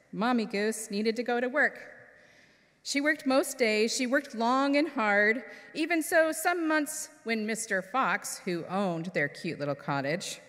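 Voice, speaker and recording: Female storyteller for all ages, highish voice, slow delivery with dramatic pauses, long hall reverb. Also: a bit bright. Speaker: minister reading a children's story. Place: church service in a large hall.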